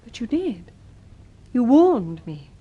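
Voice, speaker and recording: A shaken, suspicious wife in an old radio play. Her voice is fairly high and breathy, with animated swings as she questions. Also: slow, highs cut off, full character voice. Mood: shaken disbelief. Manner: questioning, tense. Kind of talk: vintage BBC radio drama acting.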